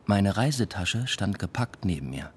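A man's voice: low voice, big pitch swings, normal speed, slight background noise. An actor playing a weary, introspective male academic, narrating a radio drama in a reflective, understated way. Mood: subdued, weary. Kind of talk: radio drama first-person narration and dialogue.

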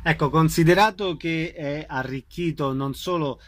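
Guy doing radio interviews, voice a bit on the high side. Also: normal speed.

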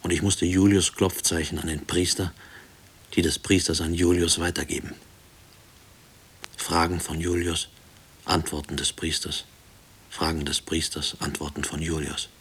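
An actor playing a haunted middle-aged former prisoner, speaking a hushed, deliberate, brooding radio play monologue. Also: male voice, sits low, flat delivery, breathy edge, full character voice. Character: haunted male former prison inmate, brooding family man. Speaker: narrator and lead character of a radio play. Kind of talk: brooding first-person radio drama monologue.

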